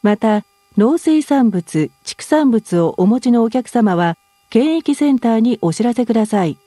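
A woman's voice: fairly high pitch, animated, extremely slow, dark tone, no room sound, clean studio sound. A woman as a cabin announcer, reading a formal scripted announcement for airline passengers.

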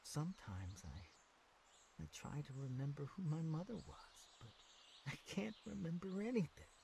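A man's voice: a little high, lively intonation, a little slow, creaky, mellow, a little hiss or hum. An actor playing a naive, dreamy young boy in a video game cutscene, stammering and eager to please. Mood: wistful musing.

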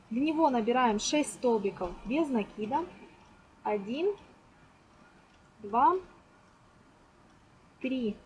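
A woman's voice: sits high, a little mellow, slightly rough. A woman informally explaining a hands-on craft step by step, as a tutorial host. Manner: fond of diminutives, explains step by step. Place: indoors at a table. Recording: noisy amateur home recording.

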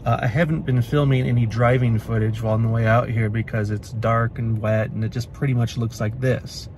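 A man's voice: mid-range voice, fairly even intonation, brisk, noisy background. Chatty man talking from his car. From Pacific Northwest. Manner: chatty, matter-of-fact, many uhs and restarts. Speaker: car vlogger. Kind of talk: casual vlog talk to camera. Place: inside a parked car at night. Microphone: phone camera at arm's length.

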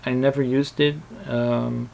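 Man, tutorial presenter, hesitant, unhurried pace, vocal fry. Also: medium pitch, level tone, darkish tone, lo-fi sound, a little hiss or hum. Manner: hesitant, halting explanation. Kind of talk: casual unscripted screen-recording walkthrough.

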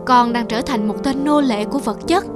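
A woman voicing a gentle, regretful elderly father in an earnest, admonishing way for an animated moral drama. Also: fairly high pitch, normal speed, music in the background, natural voice. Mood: sad, solemn.

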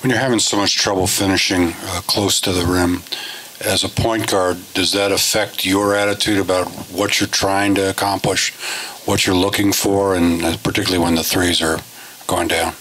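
A man's voice: low voice, little pitch movement, normal speed, fairly bright tone, slight background noise, poor audio. A man as a sports reporter asking long, rambling questions at a press conference.

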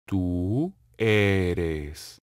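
A man reading short phrases for a language lesson, saying each one slowly and clearly and then repeating it. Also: sits low, lively intonation, no room sound. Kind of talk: slow, carefully enunciated drill reading. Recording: dry, close studio voice-over.

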